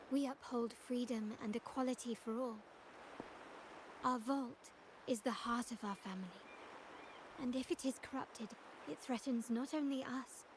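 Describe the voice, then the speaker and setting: An actress playing a formal, cool and calculating heiress of a powerful family in a video game, speaking in measured, composed lines. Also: female voice, on the high side, background noise, natural voice.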